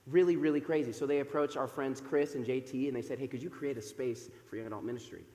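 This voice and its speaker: A man in his thirties preaches to a congregation from the pulpit, his loud voice carried by a microphone. He talks in a chatty way, pausing on fillers. The sanctuary gives his voice a long, roomy echo. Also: medium pitch, fairly even intonation. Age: 30s. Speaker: pastor. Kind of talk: sermon. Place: church sanctuary. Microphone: microphone over a PA system. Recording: echoey church hall with long reverb.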